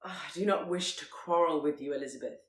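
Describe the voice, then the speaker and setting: A woman voicing a proud, reserved gentleman in a period romance audiobook, speaking formally and with restraint. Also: sits low, fast, reverberant, natural voice. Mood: weary, concerned. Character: proud, stiff, reserved gentleman, earnestly courting his betrothed.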